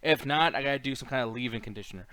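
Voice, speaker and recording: A man's voice: mid-range voice, very fast, crowd noise, low-quality recording. A man hosting a live stream in casual chat, blunt and joking, with plenty of swearing and slang.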